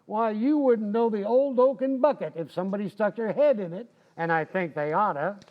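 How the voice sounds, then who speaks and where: A man's voice: high voice, normal speed, dull audio, natural voice. A man playing a stubborn, cocky, folksy husband who wisecracks in an old-time radio comedy. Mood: cocky, combative. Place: community playhouse stage, live recreation.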